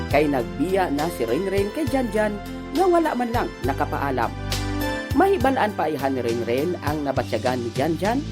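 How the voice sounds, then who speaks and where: Lively woman announcer narrating drama. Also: mid-range voice. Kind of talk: scripted narration.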